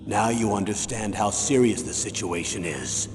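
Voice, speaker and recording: A man playing a stern older military commander in a video game, giving a measured, grave and authoritative briefing. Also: fairly low pitch, lively intonation, natural voice. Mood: grave, instructing. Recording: long reverb, clear background noise.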